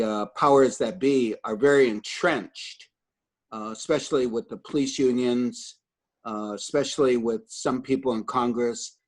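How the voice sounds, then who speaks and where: A man speaking as a panelist in a discussion, measured and steady, with occasional fillers. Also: medium pitch.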